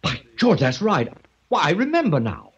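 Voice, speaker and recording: A man's voice: a little high, huge pitch swings, moderate pace, breathy edge, full character voice. An actor playing a flustered, bewildered man in an old radio drama, talking in a fussy way. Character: flustered older man Henry. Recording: dull lo-fi 1940s radio recording.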